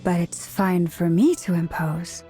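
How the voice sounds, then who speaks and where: A woman voicing a gentle, playful young female moonchanter with a light, lilting manner, in video game dialogue. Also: on the low side, steady tone, unhurried, natural voice. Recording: game audio with clear music behind.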